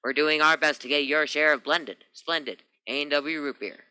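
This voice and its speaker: Period radio role for a man: hearty pitchman, very sharp forceful tone, slightly high, punchy salesmanship. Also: fairly even intonation, normal speed, smooth, low-quality recording. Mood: hearty, upbeat. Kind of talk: 1940s radio advertising.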